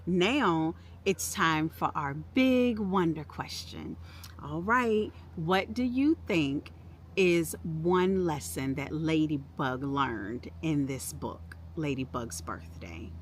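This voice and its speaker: A woman hosting a children's storytime, speaking expressively and encouragingly to young listeners in a deliberate way. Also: low voice, expressive, a little hiss or hum. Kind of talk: expressive read-aloud for preschoolers.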